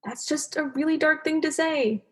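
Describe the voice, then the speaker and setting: A woman's voice: sits high, moderate pace, lightly acted. A woman playing an aggrieved, stubborn female fish in a radio play, speaking bluntly and earnestly. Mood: pleading. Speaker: actor.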